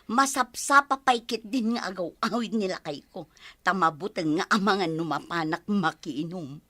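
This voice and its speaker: An actress with a Filipino Ilocano accent playing a dramatic, complaining village wife in broad radio comedy. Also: female voice, lowish voice, moderate pace, sharp tone, dry recording. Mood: gossipy.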